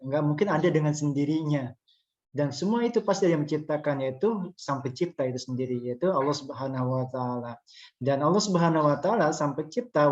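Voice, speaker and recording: A man's voice: a little high, a little mellow, dull audio. A man moderating an online religious study session, talking to the audience with lots of "eh" fillers. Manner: chatty, many "eh" fillers, addresses audience. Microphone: online video call.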